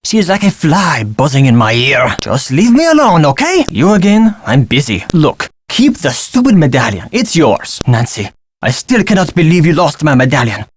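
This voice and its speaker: A man's voice: fairly high pitch, big pitch swings, normal speed, breathy edge, no room sound. Swooping, theatrical Frenchman. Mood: irritated. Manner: theatrical, condescending. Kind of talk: video game character acting.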